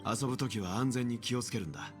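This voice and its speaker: An actor voicing a steady male mentor in a game, calm and reassuring. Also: medium pitch, rapid-fire, lightly acted. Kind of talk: Japanese game voice acting. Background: game music.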